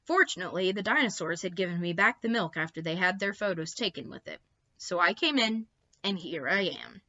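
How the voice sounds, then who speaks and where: A woman reads a children's storybook aloud in a bright, forward voice. Her delivery is expressive and emphatic, with small asides to young listeners. The recording is slightly dull and lo-fi. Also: fairly low pitch, brisk. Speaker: librarian reading stories to children.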